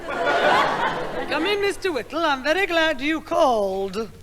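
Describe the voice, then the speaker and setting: Brief: a scolding mum, highish voice, long reverb, old radio play. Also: female voice, sing-song, takes it slowly, crowd noise, noisy background, full character voice. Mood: stern. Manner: stern, scolding. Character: stern, exasperated mother. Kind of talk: 1960s radio comedy acting.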